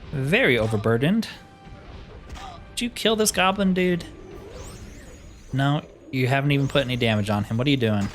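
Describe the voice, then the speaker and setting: Man, medium pitch, casual gaming commentary, wry dry humor, faint background music. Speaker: gaming video host.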